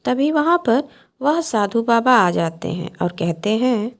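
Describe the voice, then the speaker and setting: A woman's voice: on the high side, sing-song, measured pace. A woman narrating a scripted cartoon bedtime story as the storyteller.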